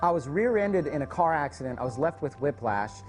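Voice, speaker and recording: A man's voice: a little high, lively intonation, brisk. A man giving an upbeat, confessional personal testimonial. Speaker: testimonial speaker. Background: faint background music.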